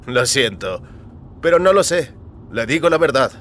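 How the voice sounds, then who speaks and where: A man's voice: mid-range voice, lively intonation, normal speed, fairly bright tone, pitched above the performer's own voice, close to an everyday voice. Bewildered male detainee, acted by a male narrator, audio fiction, defensive, brief answers over background noise. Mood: apologetic, firm. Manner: short, evasive-sounding answers.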